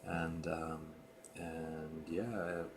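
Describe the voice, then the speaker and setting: A man from the Basque Country with a Basque Spanish accent, speaking English hesitantly with frequent pauses and "um"s. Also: a bass voice, little pitch movement, very noisy. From the Basque Country, Spain. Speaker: conversation partner.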